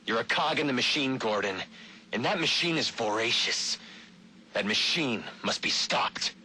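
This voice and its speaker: An actor playing a zealous anarchist vigilante in a video game, preaching with a deliberate, menacing delivery. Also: male voice, mid-range voice, animated, bright, forceful tone, a little hiss or hum, rough recording. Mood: ominous, fervent. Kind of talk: video game voice acting, audio log.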